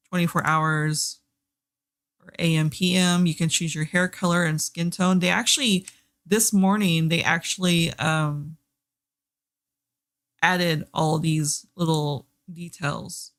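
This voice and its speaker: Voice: mid-range voice, a bit bright, dry recording. A streamer from Texas with a Southern accent, chatting casually and rambling with many fillers while hosting a reading sprint. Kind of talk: casual livestream chat. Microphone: desk microphone, headset off.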